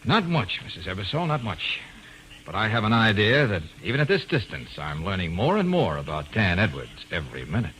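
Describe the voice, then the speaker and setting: An actor playing a confident, wisecracking American private investigator in an old-time radio drama. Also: male voice, fairly low pitch, very animated, a little fast. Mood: probing, dry. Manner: breezy, needling, wisecracking. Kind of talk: 1940s-50s radio detective acting. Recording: noisy vintage radio drama recording.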